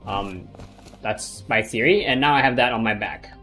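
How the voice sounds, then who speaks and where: A man's voice: fairly low pitch, moderate pace, bright, forceful tone, faint music behind. Rambling casual gaming streamer man. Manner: rambling, many fillers and restarts.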